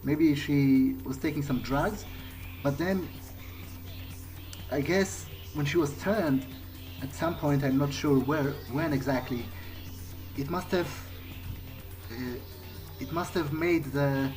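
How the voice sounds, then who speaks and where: A man acts out a bewildered fledgling vampire from a video game, thinking aloud. He speaks slowly and haltingly, searching for his words as he earnestly mulls things over. Music plays clearly behind him. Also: fairly high pitch, darkish tone. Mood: pensive, unsure. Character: bewildered newly turned vampire, former programmer, musing earnestly. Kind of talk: in-character thinking aloud during a playthrough.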